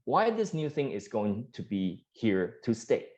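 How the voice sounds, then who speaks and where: Earnest man on lo-fi audio. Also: measured pace. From Taiwan. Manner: earnest, many restarts and fillers, rises in pitch when animated. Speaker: startup founder pitching. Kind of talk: pitch Q&A answers. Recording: dull lo-fi sound.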